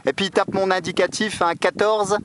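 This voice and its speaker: A man's voice: high voice, steady tone, normal speed, fairly bright tone, slight background noise. A man chatting casually as an amateur radio operator, repeating himself and tagging his phrases. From metropolitan France. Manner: repetitive, punctuates phrases with tag words. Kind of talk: casual radio contact chat. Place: beside his own radio station, filming it.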